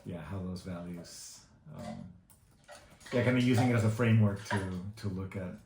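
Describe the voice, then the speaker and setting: Мужчина за тридцать, низкий голос, гулкая комната. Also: male voice, low-pitched, moderate pace, a little mellow. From Guatemala. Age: 30s. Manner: hesitant, many fillers and restarts. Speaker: interviewee. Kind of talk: casual interview answers. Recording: echoey room with faint noise.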